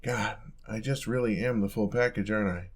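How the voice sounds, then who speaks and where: A young man playing an affectionate, playful roommate in an intimate audio roleplay, talking in a soft, casual, teasing way. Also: lowish voice, fairly even intonation, a little fast, slightly rough.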